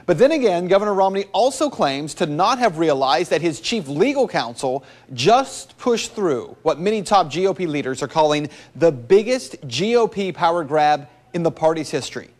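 A man's voice: a little high, sing-song, normal speed, few pauses. A man reporting a scripted TV news segment in a studio, with a pointed, skeptical delivery.

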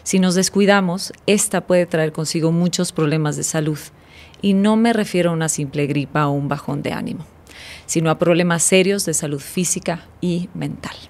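A woman hosts a podcast in a dark studio. Her voice sits fairly low, and she speaks close to a boom-arm studio mic. She moves from a read introduction into warm, effusive chat. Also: normal speed. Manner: warm, effusive, reads from paper. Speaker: podcast host. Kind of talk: scripted intro then casual interview. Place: dark studio set, indoors.

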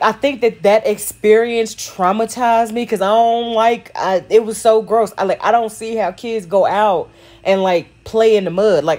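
A woman's voice: medium pitch, a little mellow. A woman with a Texan accent, chatting casually to viewers as she narrates a nail tutorial. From Texas. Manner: chatty, talks to viewers as y'all and sis.

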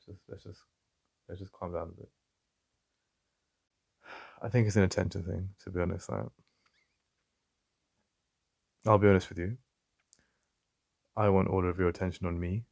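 A man with an English accent playing a jealous, possessive yet vulnerable boyfriend in an intimate ASMR roleplay, halting and conversational with a gravelly voice. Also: low-pitched, steady tone, darkish tone, no room sound. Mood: calming, vulnerable. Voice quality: gravelly, creaky. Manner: halting, repeating himself, conversational. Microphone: close, dry studio-style mic.

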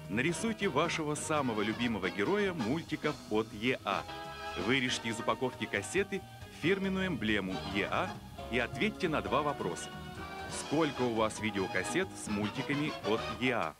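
A man reading scripted promotional narration for cartoon trailers in an enthusiastic, dramatic announcer style. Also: fairly low pitch. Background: music.

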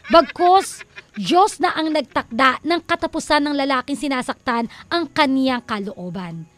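A woman's voice: high voice, a bit bright, no room sound. A woman with a Filipino accent co-hosting a radio advice show, half preaching and half teasing, quoting scripture and laughing often. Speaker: radio co-host. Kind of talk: playful radio advice talk. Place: radio studio.

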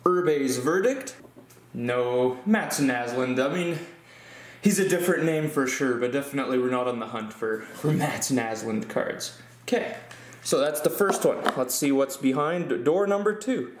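A man hosting his own card-opening videos, chatting casually with fillers and excited exclamations, recorded by a camera mic at a tabletop indoors. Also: medium pitch, measured pace, reverberant, slight background noise. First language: English. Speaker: host of a card-opening channel. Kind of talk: casual hobby commentary.

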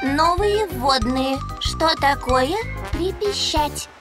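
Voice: sits high, expressive, slow pace. A performer voicing an earnest, obedient little robot girl in a children's cartoon, with clipped, matter-of-fact phrases. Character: small, polite, literal-minded robot girl, earnest and obedient. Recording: music behind the voice.

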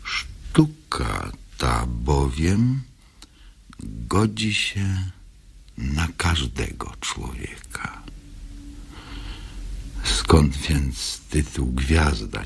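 A man with a husky voice giving a reflective introduction to a play as its writer, halting and deliberate, with long pauses. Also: a bass voice. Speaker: playwright introducing own play.

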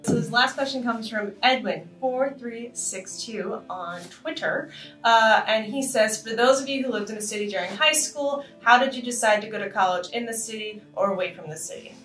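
A lively woman from Boston chats with friends around a conference table, laughing often as she tells stories. She sounds a little distant from the mic, and the room rings with echo. Faint music sits underneath. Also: on the high side, moderate pace. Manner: animated, laughing storyteller. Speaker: podcast co-host. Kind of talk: casual panel chat.

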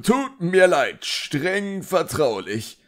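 A man's voice: on the high side, big pitch swings, drawn-out words, voice pushed up, full character voice. A man voicing a flustered bearded sorcerer in an audiobook, mumbling hesitantly. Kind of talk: audiobook character acting.

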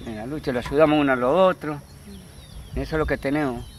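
A man with an Argentine river-island accent, a fisherman, talking casually and haltingly, repeating his phrases. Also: medium pitch, fairly even intonation. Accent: Argentine, Paraná river islands. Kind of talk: casual recollection. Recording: faintly noisy outdoor field recording.

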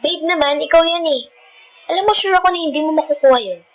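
A woman's voice: high-pitched, fast, lo-fi sound, faint music behind, close to an everyday voice. A woman with a Filipino accent playing a feisty, dramatic but loving girlfriend in an ASMR roleplay, sassy and mixing two languages as she talks. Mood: exasperated, scolding. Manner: sassy, scolding, switching between Tagalog and English.

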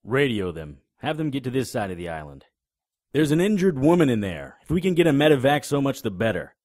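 Guy playing a wry tough detective in a radio drama, really animated delivery. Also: mid-range voice, brisk, lightly acted. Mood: brisk, commanding. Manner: sardonic. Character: wry, tough male private investigator. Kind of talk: audio drama acting with narration.

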